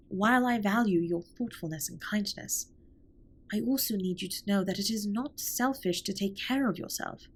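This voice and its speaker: A woman playing a formal, earnest, caring alien spaceship captain in a scripted comfort roleplay, speaking softly and breathily with measured, precise wording. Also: mid-range voice, husky, close to an everyday voice. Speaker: audio roleplay performer. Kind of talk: scripted comfort roleplay, intimate one-sided dialogue.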